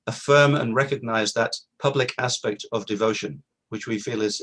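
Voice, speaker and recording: A man's voice: lowish voice, a bit bright. Middle-aged man speaking earnestly. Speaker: guest speaker. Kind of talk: informal talk at a virtual community event.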